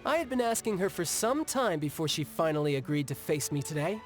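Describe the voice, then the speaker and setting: An actor playing a breezy, confident young male fighter in a video game, speaking in a casual, smooth, conversational manner. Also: a little high, big pitch swings, brisk. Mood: explanatory. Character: breezy, confident young male warrior who relishes duels. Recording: music behind the voice. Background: game music.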